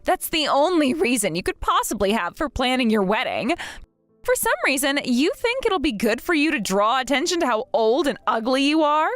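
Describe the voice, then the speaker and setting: Woman doing a bratty mean girl in her late 20s, high sneery mocking voice, swoops all over, dry close sound. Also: very animated, moderate pace, a bit bright, no echo, faint music behind. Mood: sneering. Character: spiteful bratty young woman, the groom's younger sister.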